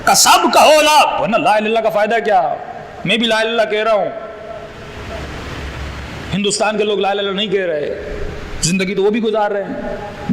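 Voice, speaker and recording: A man's voice: high-pitched, pushed and bright. A male cleric giving an impassioned sermon, full of rhetorical questions and acted-out quarrels. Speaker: Shia cleric. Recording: hall reverb, faint noise.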